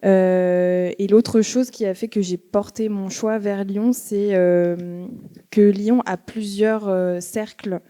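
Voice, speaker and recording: A young woman artist on a panel, giving a steady, methodical account with many fillers. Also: mid-range voice, takes it slowly. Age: young adult. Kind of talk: panel talk. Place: museum auditorium.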